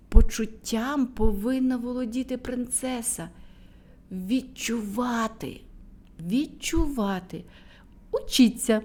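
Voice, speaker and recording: A woman reads a fairy tale aloud for children, with a slow, gentle delivery. Her voice sits a little high and rises and falls in a very lively way. She turns to speak to the children directly. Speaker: storyteller for children.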